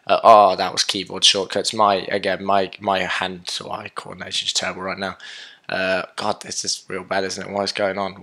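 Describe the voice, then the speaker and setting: Sick young man, low hoarse voice. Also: pushed and bright. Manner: casual and chatty, with many fillers and self-corrections. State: sick, sore throat, losing his voice. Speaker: coding tutorial host. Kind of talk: casual screen-recorded coding tutorial.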